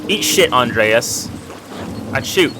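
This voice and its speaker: A man's voice: mid-range voice, lively intonation, slow pace, noisy background. A man giving casual let's play commentary, informal and slangy, musing to himself as he goes. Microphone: wired mic.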